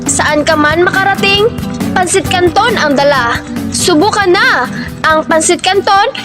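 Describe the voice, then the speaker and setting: A woman's voice: extremely high pitch, measured pace. A woman announcer giving an upbeat, scripted commercial read. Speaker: advertisement announcer. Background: music.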